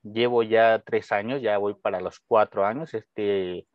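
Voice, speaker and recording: A man's voice: lowish voice, steady tone, a little slow, dry recording. A young Mexican man with a Mexican Spanish accent, a guest teacher answering casually and friendly, with hesitations and restarts. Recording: dull lo-fi dry sound.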